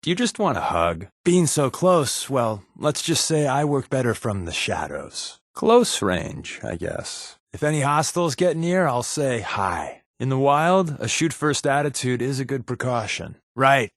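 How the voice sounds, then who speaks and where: A sardonic American secret agent in a video game, a companion with glib, wisecracking patter. His pitch moves around freely in an animated delivery, and the sound is dry and close. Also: measured pace, hardly any pauses, no echo. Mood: playful, deadpan. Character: wry, sarcastic undercover resistance agent and companion.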